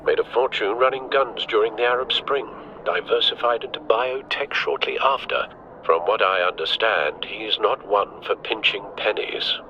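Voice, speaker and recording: An actor playing an older, loyal butler and adviser in a video game, articulate and dry. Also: male voice, fairly low pitch, moderate pace, bright, forceful tone, dull audio, slight background noise. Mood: briefing, watchful.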